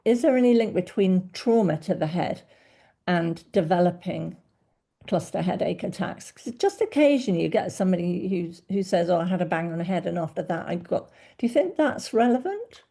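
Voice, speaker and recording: Woman doctor host, low-ish mellow voice with some fry, attentive interviewer. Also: animated, normal speed. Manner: warm, attentive questioning with frequent backchannels. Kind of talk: podcast interview.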